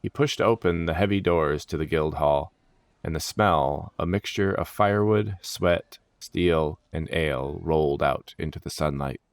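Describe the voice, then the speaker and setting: A man's voice: a bass voice, a little slow, clear, resonant tone, no echo. A man reading scripted fantasy story narration as the narrator.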